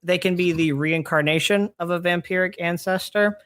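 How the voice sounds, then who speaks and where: A podcast host in their late twenties explaining fantasy lore in casual chat, halting and chuckly but enthusiastic. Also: medium pitch, steady tone, a bit bright, no room sound. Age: late 20s.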